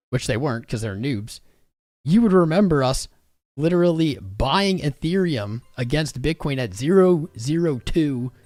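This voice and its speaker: Casual male streamer, middle pitch, slangy and rambling, voice worn thin, soft music playing in the background. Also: no echo. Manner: rambling, slangy, talks straight to chat. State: losing his voice. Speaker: crypto livestream host. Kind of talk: casual livestream chat.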